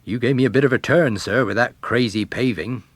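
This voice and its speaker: A man's voice: mid-range voice, fairly quick, no echo, close to an everyday voice. A man voicing a plain-spoken, watchful police sergeant, deferential yet firm, in a detective story reading. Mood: relieved, approving.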